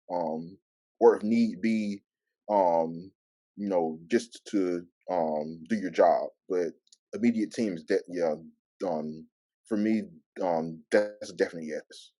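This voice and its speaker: A man with a lowish, mellow voice speaks slowly and reflectively. His stutter makes him stall and repeat words, yet he keeps a measured delivery. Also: no echo. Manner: halting, measured, frequent stalling. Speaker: panelist sharing advice. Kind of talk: reflective advice and personal anecdote.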